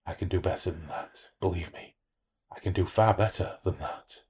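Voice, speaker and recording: A man's voice: deep voice, moderate pace, a little hiss or hum, close to an everyday voice. Man voicing a whispery, sly, grinning demonic spirit. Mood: taunting, menacing. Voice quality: whispery, soft. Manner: secretly grinning, insinuating. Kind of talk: horror audiobook-style reading.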